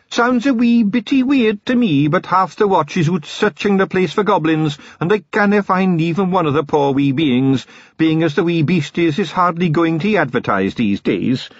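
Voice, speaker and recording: A man voicing a tiny, fierce and sly male gnome constable with a high, lilting Scottish brogue. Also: dry recording, muffled recording.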